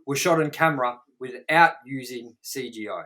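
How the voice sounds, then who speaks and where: A man co-hosting a review show, reading out trivia in a halting way with casual, offhand asides. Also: medium pitch, a bit bright. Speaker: co-host of a movie review show.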